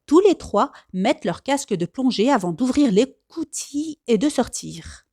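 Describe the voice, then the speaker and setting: A woman reading a children's storybook aloud as expressive scripted narration. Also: high voice, unhurried, no echo, studio quality. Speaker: storybook reader.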